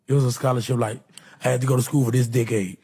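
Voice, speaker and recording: Mellow, husky man rambling. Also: medium pitch, little pitch movement, fast. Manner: rambling, boastful, casual slang. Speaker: rapper being interviewed. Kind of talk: interview clip.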